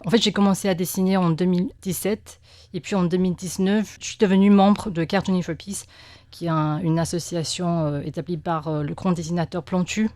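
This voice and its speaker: A woman from Taiwan with a Taiwanese Mandarin accent, answering radio interview questions in a second language, pausing often with fillers and restarts. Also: on the low side, fairly even intonation, warm tone, no room sound. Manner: hesitant, many fillers and restarts. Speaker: guest press cartoonist.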